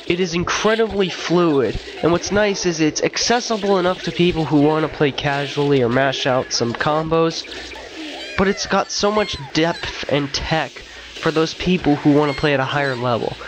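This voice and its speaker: Congested young man reviewing games, slightly high. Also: moderate pace, background noise. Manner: casual, slangy, enthusiastic. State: congested from a cold. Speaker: video game reviewer. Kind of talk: scripted game review voice-over.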